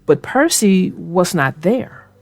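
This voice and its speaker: Slow, expressive woman reading aloud. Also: fairly low pitch, no room sound, faint music behind. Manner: slow, expressive read-aloud with character voices. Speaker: storybook reader and co-host. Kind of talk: reading a children's book aloud, then casual chat.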